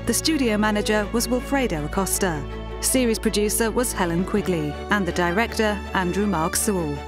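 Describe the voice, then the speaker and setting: A woman reading the closing credits of a radio drama in an even, measured manner. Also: mid-range voice. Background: theme music.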